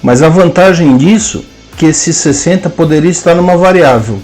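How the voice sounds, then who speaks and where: A man with a Brazilian Portuguese accent teaching a programming lesson, dictating code symbol by symbol with hesitant pauses. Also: on the high side, a little mellow, faint music behind. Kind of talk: slow screen-cast coding lesson, dictating code aloud.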